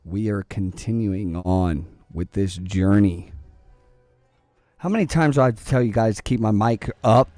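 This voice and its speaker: Slow-talking young man with a low voice. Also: dark tone, no echo, faint music behind. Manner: rambling, profane, joking, talks to chat and to the game. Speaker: gaming streamer. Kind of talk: casual gameplay commentary.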